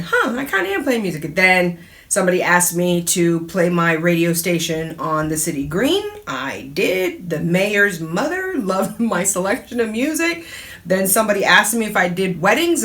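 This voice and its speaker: A middle-aged woman hosting her own talk show in a chatty, casual way, laughing often and breaking into animated asides. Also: mid-range voice. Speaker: radio host and DJ.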